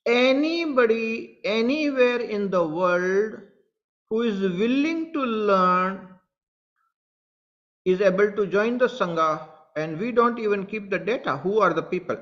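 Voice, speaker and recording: A man's voice: high voice, expressive, moderate pace, fairly bright tone, lo-fi sound. A man with an Indian English accent, a spiritual teacher speaking earnestly to online followers, repeating his points and addressing them directly. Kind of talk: satsang talk to online followers.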